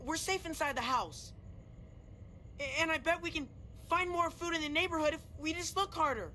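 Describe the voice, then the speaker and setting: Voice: very high voice, normal speed, pushed and bright, a little hiss or hum, poor audio. A performer playing a stubborn, defensive young boy in a video game drama, argumentative with hesitant stammers. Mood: defiant.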